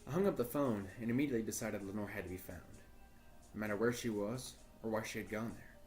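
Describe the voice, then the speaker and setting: A man playing an earnest, troubled journalist, narrating a horror audio drama in a deliberate, formal storytelling way. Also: lowish voice, a little mellow, faint music behind, close to an everyday voice. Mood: grave, earnest. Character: earnest, troubled male journalist recording his search for missing partner. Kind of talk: first-person horror audio drama monologue.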